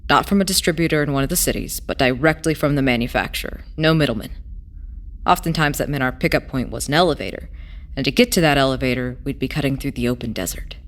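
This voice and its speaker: A woman playing a weary, idealistic former smuggler in an audio drama, telling their past in a hesitant, wistful way with pauses. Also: a bass voice. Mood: reflective, storytelling. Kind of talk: audio drama acting, halting first-person testimony.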